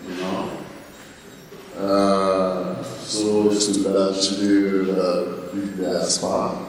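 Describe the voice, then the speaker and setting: A Nigerian-accented man in his forties addresses a seminar from some distance in a very echoey hall. He speaks extremely slowly and haltingly, often searching for the next word and slipping in English fillers. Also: deep voice. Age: 40s. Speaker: guest speaker, student. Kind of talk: informal speech to a seminar audience. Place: large indoor seminar room with reverb.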